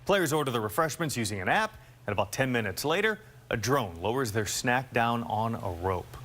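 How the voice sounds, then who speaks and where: A young man reporting the news on local television, with a crisp, measured newscast delivery. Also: mid-range voice, animated, fairly bright tone.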